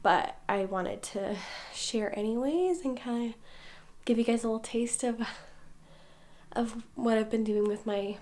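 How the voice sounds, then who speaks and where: A young woman in her twenties, slightly hoarse from a cold, chatting casually with viewers into a phone held at arm's length. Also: fairly high pitch, a little slow, a little mellow. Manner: chatty, laughs often. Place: bedroom with houseplants, indoors. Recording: background music under voice.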